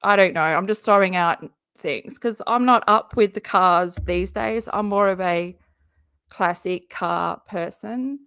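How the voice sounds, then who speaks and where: Hoarse, gravelly woman hosting a live reaction stream, jokey and chatty, dry close sound. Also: medium pitch, measured pace, fairly bright tone, no echo. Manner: chatty, jokey, talks to her viewers. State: hoarse, often losing her voice. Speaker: YouTube live-stream host and comedian.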